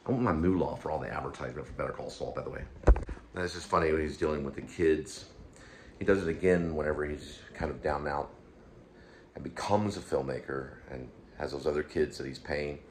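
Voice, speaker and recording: Very deep-voiced man rambling casually. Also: a little fast, a little hiss or hum. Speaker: show host.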